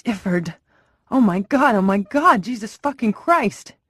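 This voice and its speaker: A woman's voice: mid-range voice, lively intonation, a little slow, warm tone, slightly rough, no room sound, clean studio sound, pitched above the performer's own voice. A woman voicing a male police commander who screams orders over the radio. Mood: screaming.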